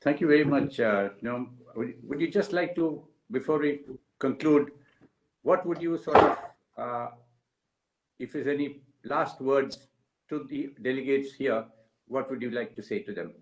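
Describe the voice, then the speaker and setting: A man's voice: medium pitch, unhurried, lo-fi sound. A man moderating a conference interview, asking questions in a courteous, somewhat hesitant way.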